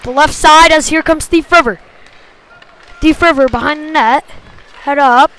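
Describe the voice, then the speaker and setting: A woman's voice: high-pitched, measured pace, fairly bright tone, rough recording. A woman doing live play-by-play hockey commentary in short, clipped phrases that follow the action.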